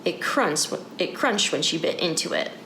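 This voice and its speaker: Animated woman reading to children, roomy sound. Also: lowish voice, moderate pace, vocal fry. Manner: addresses children directly with questions. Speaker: children's story reader. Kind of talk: guided picture-book read-aloud for children. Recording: echoey room with background noise.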